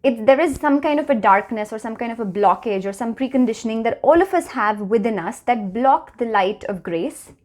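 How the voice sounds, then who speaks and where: A woman's voice: a little high, animated, darkish tone. A woman in her thirties from India with an Indian accent, hosting her own channel and talking earnestly and reflectively to the camera. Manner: earnest, measured, slows to stress key phrases. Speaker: channel host. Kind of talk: reflective personal talk to camera.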